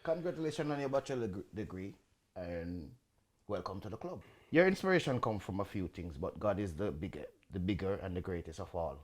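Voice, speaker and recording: Podcast host, lively lilt. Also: male voice, sits low. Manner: measured, asks short structured questions. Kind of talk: interview questions.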